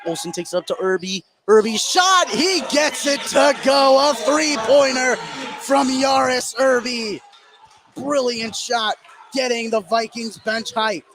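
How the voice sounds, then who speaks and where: A man doing excitable live play-by-play of high school basketball in short running phrases. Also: a little slow, soft background music. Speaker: play-by-play sports commentator.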